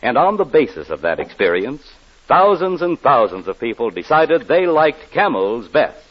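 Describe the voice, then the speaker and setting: A man delivering an emphatic, folksy 1940s radio advertising pitch as a commercial announcer. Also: sing-song, moderate pace. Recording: muffled old radio recording.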